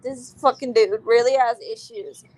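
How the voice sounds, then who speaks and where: A woman's voice: on the high side, moderate pace, a little mellow. A twenty-year-old woman chatting casually and profanely, tired after work, calling friends bestie. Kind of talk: casual stream chat.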